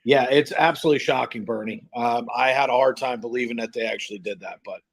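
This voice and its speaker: A man's voice: mid-range voice, fast talker, vocal fry, slight background noise. Chatty man hosting a hockey stream. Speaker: live stream host calling hockey play by play. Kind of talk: casual live sports stream chat, greeting viewers.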